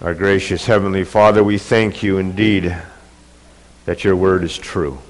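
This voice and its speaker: A man's voice: low-pitched, fairly even intonation, a little slow. A man delivers a church sermon through a pulpit microphone, his voice congested from a cold. He reads and preaches earnestly, building in intensity as he goes. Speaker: pastor.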